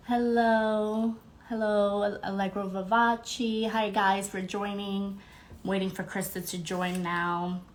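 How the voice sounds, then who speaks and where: A woman's voice: medium pitch, a bit bright. Bubbly congested woman hosting a live stream. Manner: bubbly, greets viewers by name, fillers. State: congested, slightly nasal. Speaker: podcast host. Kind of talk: casual live-stream chat.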